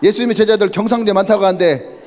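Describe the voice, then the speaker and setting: A man acting out a loud male bystander who insists and jeers as he accuses someone. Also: high voice, rapid-fire, natural voice. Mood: taunting. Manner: jeering, insistent. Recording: reverberant church sanctuary.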